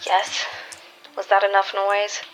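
An actress playing a guarded, sardonic operative in an audio drama, speaking curtly. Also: female voice, mid-range voice, completely flat delivery, slow, a bit bright, rough recording. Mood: tense. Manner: curt, sardonic. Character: guarded, sardonic woman operative, wary and curt. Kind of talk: naturalistic audio drama dialogue.

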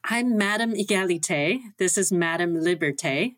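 A cool female conspirator in an audio drama. Her voice is on the low side and dry in sound, with a skeptical edge. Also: lively intonation, normal speed, fairly bright tone, no echo.

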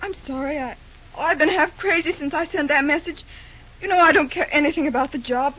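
A woman's voice: high voice, fairly quick, a bit bright. An actress playing a distraught, remorseful young woman in an old-time radio melodrama. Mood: pleading, remorseful. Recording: dull lo-fi old radio recording.

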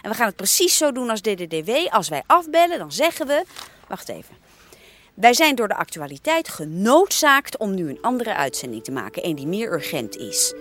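Bossy, animated radio boss woman. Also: medium pitch, brisk. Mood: exasperated, firm. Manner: decisive, impatient, curt. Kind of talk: radio comedy acting.

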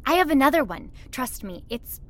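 A woman's voice: sits high, big pitch swings, normal speed. A woman playing a naive, chirpy chatbot, eager and guileless, in an audio comedy drama. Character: naive, chirpy female chatbot aboard a spaceship.

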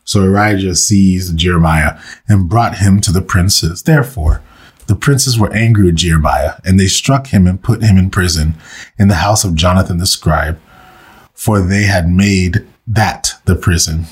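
A man leading a slow, meditative scripture reading and prayer for listeners, saying he is under the weather and losing his voice. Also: sits low, husky. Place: recorded for online listeners.

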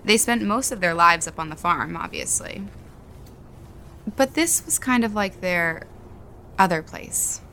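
A woman's voice: on the low side, expressive, a little slow, long pauses, sharp tone, exaggerated voice. An actress playing a sassy, guarded farm woman, casual and naturalistic, in an audio drama. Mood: reflective. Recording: clear outdoor background noise. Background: outdoor park ambience.

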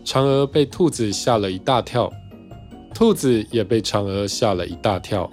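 A medium-pitched storyteller narrates a children's tale from a script. The phrasing is simple and storybook-like, with music playing underneath. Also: expressive, normal speed, a little mellow.

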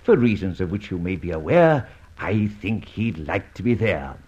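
An actor playing a debonair, mocking gentleman adventurer, suave and theatrically polite, in an old-time radio serial. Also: sits low, sing-song, moderate pace, slightly rough, heavily acted. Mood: teasing, polite. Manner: suave, teasing, theatrically polite, hesitant drawl. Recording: muffled vintage radio serial recording.

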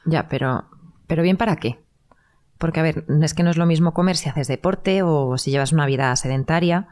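An actress playing a sarcastic, easily irritated dinner guest in audio fiction, speaking in a direct, sardonic way. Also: female voice, low-pitched, a little fast, a little mellow, lightly acted. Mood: casual, questioning. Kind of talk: live-recorded audio fiction acting.

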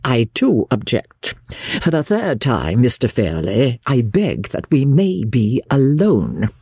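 A man's voice: medium pitch, wildly swooping pitch, slow, creaky, mellow, breathy edge, dry recording, clean studio sound, exaggerated voice. A man voicing a stern, self-controlled but exasperated lawyer in an audiobook, speaking formally and insistently. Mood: firm, impatient. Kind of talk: dramatised audiobook character voice.

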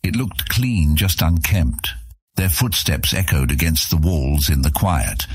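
A man's voice: rumbling low, animated, unhurried, slightly rough, no room sound. A man narrating scripted fantasy fiction as an audiobook reader.

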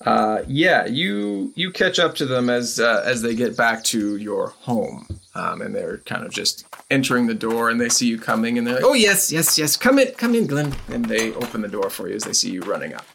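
A man's voice: fairly low pitch, moderate pace, vocal fry, few pauses, fairly bright tone, slight background noise. A man narrating an improvised tabletop game as game master, hesitantly searching for words.

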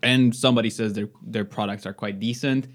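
A man's voice: on the low side, steady tone, fairly quick. A man in a casual podcast chat, explaining schemes step by step in a matter-of-fact way.

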